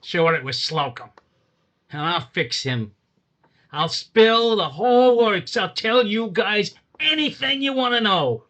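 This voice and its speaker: An actor playing a nervous small-time crook under questioning, whining and stammering in a radio drama. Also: male voice, high voice, big pitch swings, takes it slowly, pushed and bright, lo-fi sound, heavily acted. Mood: bitter. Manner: whiny and stammering.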